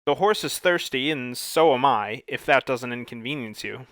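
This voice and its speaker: A man voicing a calm, terse male monster hunter who speaks dryly and evenly. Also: medium pitch, moderate pace, no echo, close to an everyday voice. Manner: calm, dry.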